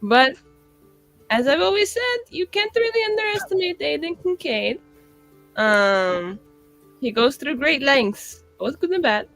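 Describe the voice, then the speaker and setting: A woman with a fairly high, very animated voice plays a tabletop roleplaying game. She talks in a loose, rambling, playful way and slips into acting out small exchanges. Faint music plays behind her. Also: a little slow. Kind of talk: casual in-character and table chat.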